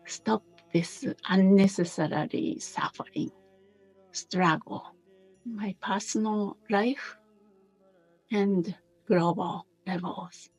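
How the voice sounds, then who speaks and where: A woman teaching a guided meditation in a gentle, unhurried manner, pausing between short phrases. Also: mid-range voice, steady tone, slightly rough, dry recording, faint music behind, low-quality recording.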